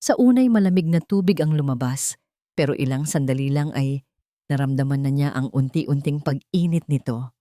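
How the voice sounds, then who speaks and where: Low-voiced woman narrating a story. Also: moderate pace, mellow, dry recording, clean studio sound. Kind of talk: scripted dramatic story narration.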